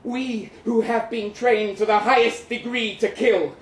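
A man's voice: very high-pitched, fairly even intonation, unhurried. A man performing a live dramatic reading as a bitter, witty crippled young Russian ex-soldier, in a theatrical, florid, wry manner. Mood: wry, musing. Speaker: performer reading a short story aloud. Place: live event venue in London, indoors. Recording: roomy, distant live recording with noise.

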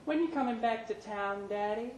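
A man's voice: high-pitched, moderate pace, a little mellow, pitched above the performer's own voice, natural voice. A man voicing a young daughter, childlike and plaintive, in a live story reading. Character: young daughter coaxed into needling her daddy. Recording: echoey pub room, background noise.

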